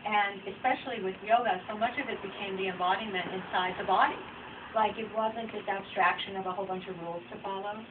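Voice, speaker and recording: A woman's voice: medium pitch, steady tone, quick pace. Distant, muffled woman answering audience questions. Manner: rambling, reflective, conversational. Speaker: author speaking at a book event. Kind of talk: informal audience Q&A. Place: bookstore reading event. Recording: distant mic, dull noisy room recording.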